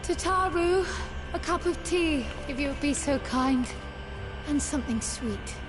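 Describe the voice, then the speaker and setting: A woman's voice: high-pitched, unhurried. A woman playing a weary, haughty, demanding mage in a video game, with a dramatic, imperious manner. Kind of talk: scripted video game voice acting. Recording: music behind the voice.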